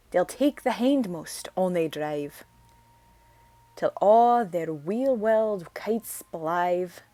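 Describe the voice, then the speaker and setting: An American woman reciting an old Scots poem in a put-on Scottish accent, slow and declamatory. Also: mid-range voice, darkish tone, no echo. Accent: American woman attempting a Scots accent. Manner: slow, deliberate, declamatory reading. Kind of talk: poetry recitation.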